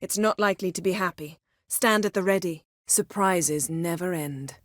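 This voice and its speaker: A haughty sorceress from a fantasy game, played by a woman, speaks in a lowish voice. Her diction is formal and her manner imperious, with a dry, cutting wit. The sound is close and free of room echo. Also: normal speed, lightly acted. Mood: cool, appraising. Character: haughty, sharp-tongued, commanding sorceress in a fantasy world. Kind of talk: fantasy video game voice acting.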